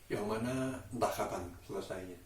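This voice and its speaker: A man from Indonesia, chatting casually to camera as a solo vlogger in a halting, deliberate way with drawn-out pauses. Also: fairly low pitch, a little hiss or hum. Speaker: daily vlogger and host. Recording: roomy echo, distant microphone.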